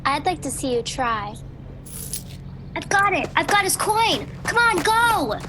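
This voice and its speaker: A woman's voice: very high voice, sing-song, unhurried, full character voice. A woman playing a daring, cheeky young street thief girl in a radio play. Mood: taunting, excited. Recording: noisy home video-call recording.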